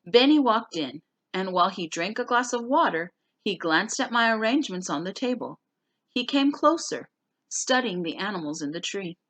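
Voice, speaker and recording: A woman's voice: mid-range voice, animated, moderate pace, a bit bright, no room sound. A woman teacher talking through and reading a class novel aloud for students, explaining things simply. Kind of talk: casual spoken recap and story read-aloud for students.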